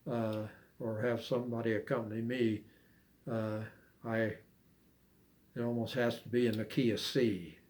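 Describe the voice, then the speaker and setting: An older man chatting casually as an interviewee, recalling things in a halting, hesitant way with long pauses. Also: mid-range voice, little pitch movement, darkish tone. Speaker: amateur harmonica player being interviewed. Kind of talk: casual reminiscing chat.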